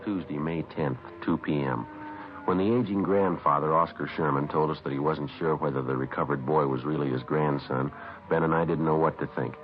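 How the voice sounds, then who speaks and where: Clipped matter of fact cop narrator, really low voice, muffled old radio show. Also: male voice, fairly even intonation, brisk, music in the background. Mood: flat, matter-of-fact. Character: calm, businesslike male police sergeant narrating cases. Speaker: detective narrator. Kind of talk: 1950s radio crime drama narration. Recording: dull vintage radio recording.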